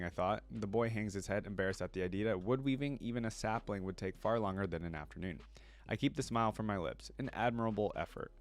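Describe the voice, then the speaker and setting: Low-voiced man reading a story aloud. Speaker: content creator reacting to game lore. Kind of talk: expressive read-aloud of a first-person story with casual reactions.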